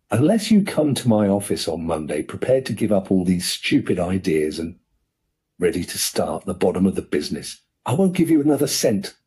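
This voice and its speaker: A man's voice: deep voice, husky. A man doing a blustering, furious rich uncle. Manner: loud, indignant ranting.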